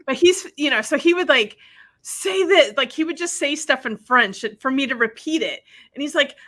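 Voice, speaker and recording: A woman from Wisconsin, a guest telling stories in a lively, animated way. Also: high voice, normal speed. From Wisconsin, USA. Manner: animated storyteller who acts out other people's lines. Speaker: guest, spiritual practitioner. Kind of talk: casual conversation.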